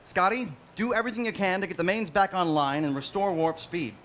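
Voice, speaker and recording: Earnest, commanding starship admiral, played by a man, high-pitched, theatrical parody style, live stage. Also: a little fast, fairly bright tone. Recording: live stage recording with clear noise.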